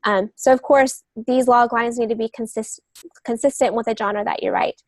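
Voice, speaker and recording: A woman's voice: on the high side, fairly even intonation, normal speed, no echo. A woman guest on a podcast, explaining writing craft casually, step by step. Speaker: podcast guest, novelist and literary agent.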